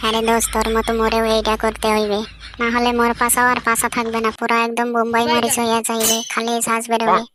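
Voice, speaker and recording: Woman as cheeky cartoon schoolboy, high and wildly swooping, slangy Bangladeshi regional accent, comic griping, loud music behind. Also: monotone, quick pace, lightly acted. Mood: comic dread. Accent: Bangladeshi regional Bengali. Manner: slangy, exaggerated complaining. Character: cheeky schoolboy who failed exams, dreading his father.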